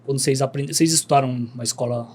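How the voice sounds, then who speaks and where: A young man, a podcast guest telling stories in relaxed, slangy chat. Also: mid-range voice, rapid-fire. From Brazil. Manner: laid-back storytelling, slangy, animated.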